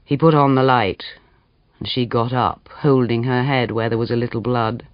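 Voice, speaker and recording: A man reading a literary story aloud as dramatic audiobook narration, measured and expressive. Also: mid-range voice, no echo, dull audio. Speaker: audiobook reader.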